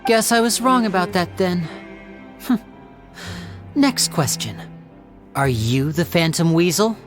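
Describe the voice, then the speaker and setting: An actress playing a composed young female investigator in a video game, speaking in a measured, coolly questioning way. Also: lowish voice, animated, faint music behind. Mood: probing, dry.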